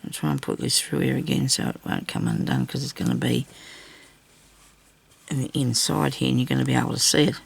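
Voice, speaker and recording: An older Australian woman with a low, husky voice roughened by a lingering cough, chatting casually and self-deprecatingly as she rambles through a craft video. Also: sing-song, a little fast. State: lingering cough after weeks of sickness. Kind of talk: casual chat while hand stitching.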